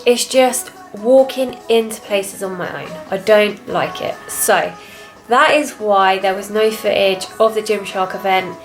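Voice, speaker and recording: A young woman with a British accent and a soft, quiet voice, chatting candidly and a little reservedly as a fitness vlogger. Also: medium pitch. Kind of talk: casual confessional chat to camera.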